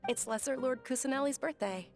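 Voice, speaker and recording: A woman playing an earnest, gentle young woman recalling a sickly childhood in a scripted video game. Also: sits high, a little fast. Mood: wistful, earnest. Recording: game audio with music underneath.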